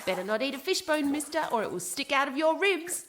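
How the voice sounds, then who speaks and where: An actress playing a chatty, deferential young maidservant in a period radio drama, with a folksy, easily flustered way of talking. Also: female voice, sits high, sing-song, a little fast, a bit bright, slight background noise. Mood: giggly, gushing.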